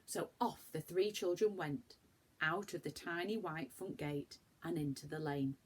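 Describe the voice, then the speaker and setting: A woman reading a children's story aloud in an expressive, deliberate storytelling manner. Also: deep voice, slight background noise.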